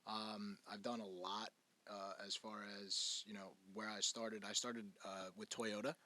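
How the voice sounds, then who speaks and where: A man in his twenties from New York, a real estate agent talking as a podcast guest, soft-spoken and hesitant with many fillers. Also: on the low side, flat delivery, measured pace. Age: 20s. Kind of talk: casual interview chat.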